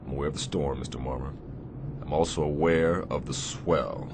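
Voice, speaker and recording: A stern Navy captain for radio theatre. The voice is low and commanding, with sardonic, deliberate phrasing that shows a demanding officer who still cares. Clear shipboard noise sits behind it. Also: male voice, fairly even intonation. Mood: curt, sardonic. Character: stern, demanding but caring male Navy destroyer captain.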